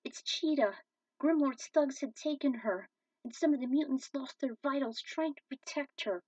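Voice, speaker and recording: A woman's voice: high voice, normal speed, a little mellow, dry recording, pitched above the performer's own voice, lightly acted. A woman voicing a formal, concerned father and mentor in a story, with a grave delivery. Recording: dull, distant microphone.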